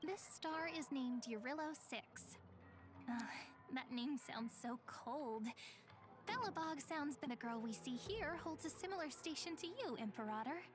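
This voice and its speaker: A gentle, hopeful girl guide from a fantasy game. She explains things patiently in a high, polite, softly playful voice, with music underneath. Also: lively intonation, measured pace. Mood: light, informative. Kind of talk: scripted video game voice acting.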